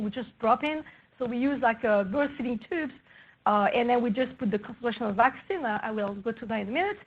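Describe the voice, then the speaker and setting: Soft-spoken woman scientist, halting delivery. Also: breathy edge, phone-quality sound. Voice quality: soft, low-volume voice. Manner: hesitant, many fillers and restarts. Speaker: research scientist presenting at a workshop. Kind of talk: scientific talk presenting slides.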